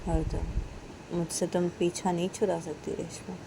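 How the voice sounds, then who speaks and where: A woman's voice: lowish voice, flat delivery, a little slow, gravelly, background noise. A woman voicing a possessive young woman in love who presses with repeated questions.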